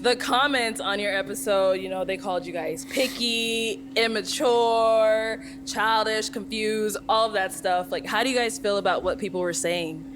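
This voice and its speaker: A woman hosting a reunion show, briskly moving the panel to the next topic. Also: medium pitch, steady tone, background noise. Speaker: host.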